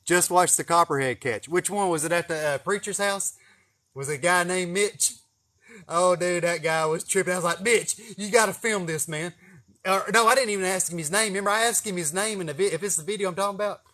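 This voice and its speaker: A man with a fairly high voice and a Southern drawl from East Tennessee talks casually to a live audience online. He rambles from one story to the next in a folksy, salty, cussing way. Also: brisk, a bit bright. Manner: folksy, profane, chuckling storyteller. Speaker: snake catcher hosting a live video. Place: greenhouse garden.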